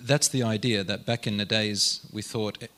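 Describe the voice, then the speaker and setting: A man's voice: fairly low pitch, fairly even intonation, moderate pace, fairly bright tone. A man hosting an interview, asking probing questions and affirming his guest. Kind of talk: conversational interview.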